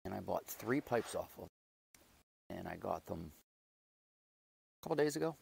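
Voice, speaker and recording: Low, gravelly man chatting in his garden. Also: sing-song, normal speed, darkish tone, poor audio. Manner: rambling, self-deprecating, chatty. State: raspy from a loud night out. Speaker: gardening vlogger. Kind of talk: casual chat while doing garden chores. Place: outdoors in a backyard garden. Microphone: lapel mic.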